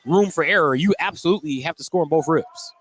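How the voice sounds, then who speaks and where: Higher-voiced American man calling basketball. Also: fast talker, soft background music. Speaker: play-by-play commentator. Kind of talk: live basketball radio play-by-play commentary.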